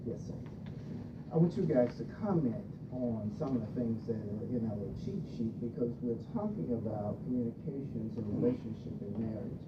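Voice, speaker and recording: A woman's voice: animated, moderate pace. A middle-aged woman hosting a radio discussion on marriage, reading from a handout and laughing between points. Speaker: radio show host. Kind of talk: leading a group discussion on marriage. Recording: distant muffled recording with rumble.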